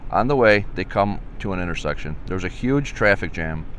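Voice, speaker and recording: A man with a lowish voice reads a children's storybook aloud. He sometimes trips on or repeats a word. There is some background noise around him. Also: expressive, moderate pace, fairly bright tone. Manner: occasional stumbles and repeats while reading. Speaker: police officer reading a children's storybook.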